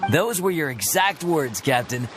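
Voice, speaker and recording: A man's voice: on the high side, expressive, unhurried. An actor voicing a dutiful, earnest male first mate who speaks respectfully to his captain, with game music behind.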